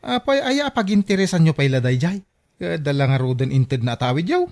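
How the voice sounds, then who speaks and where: A young grandson in a family radio drama. His voice is high for a male, with an Ilocano accent. He sounds concerned and questions his elders. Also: sing-song, a little fast, heavy background noise. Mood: concerned, questioning.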